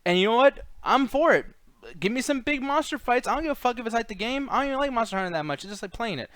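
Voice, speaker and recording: A man's voice: very high voice, lively intonation, fast, a bit bright, no room sound. A man hosting a casual opinionated chat, talking in a foul-mouthed, ranting way. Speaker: host of a movie discussion show.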